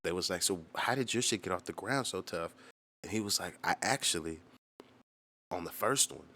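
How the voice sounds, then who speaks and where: Deep-voiced man casually breaking down his song. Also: expressive, moderate pace, pushed and bright, slight background noise. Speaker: musician and host of a commentary channel. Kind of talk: casual, profane commentary on own song.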